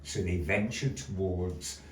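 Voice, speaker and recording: A man's voice: low-pitched, takes it slowly. A man presenting a puppet show as a grandiose, old-fashioned showman, telling stories theatrically to a live audience. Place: gallery live performance, recorded from a distance. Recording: roomy, distant mic with background noise.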